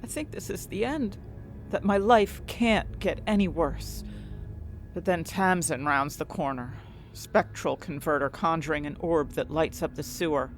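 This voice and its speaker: A woman's voice: low voice, a little slow, soft background music. A woman acting an anxious, desperate young heroine who tells her own fantasy story in intense, dramatic first-person narration. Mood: despairing. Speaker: narrator and main character of an audio fiction story.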